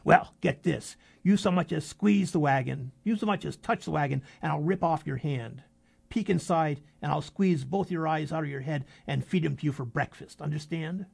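A man's voice: a little high, moderate pace, creaky, few pauses, a little mellow, slightly rough, full character voice. A man voicing a huge, menacing beast-man bodyguard who snarls threats. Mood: threatening.

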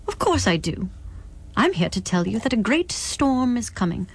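A woman's voice: fairly high pitch, very animated, normal speed, breathy edge, exaggerated voice. A woman voicing a stern, cryptic otherworldly visitor in a dramatic book reading, solemn and commanding. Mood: solemn warning.

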